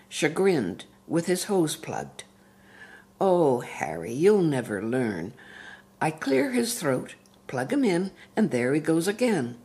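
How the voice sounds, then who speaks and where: A woman reads poetry in a low, husky voice. She takes her time, placing each phrase slowly and deliberately. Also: darkish tone. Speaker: poetry reader. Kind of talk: poetry reading.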